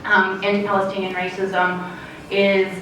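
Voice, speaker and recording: A Canadian woman speaking as a conference panelist, earnest and measured, with frequent fillers. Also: mid-range voice, monotone, a little hiss or hum. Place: conference hall. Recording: very echoey hall, distant.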